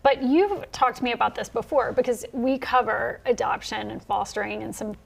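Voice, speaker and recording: Gravelly-voiced woman hosting a TV interview. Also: on the high side, normal speed. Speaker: TV news show host.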